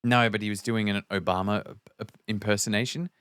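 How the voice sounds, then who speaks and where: A man with a fairly low voice chats casually as a podcast co-host. His way of talking is blunt and informal. The recording is dry and free of room sound. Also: fairly even intonation, a little fast, fairly bright tone, clean tone, no echo.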